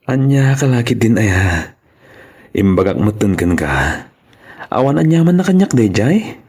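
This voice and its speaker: A man with an Ilocano accent acting a humble, devoted young man in love in a radio drama, with a husky voice. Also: on the low side, very animated, a little slow, a little mellow, heavily acted. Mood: earnest.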